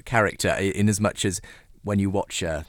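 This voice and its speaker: A man's voice: deep voice, a little fast, dry recording, clean studio sound. A man hosting an interview, asking questions and murmuring agreement attentively. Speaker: radio interviewer.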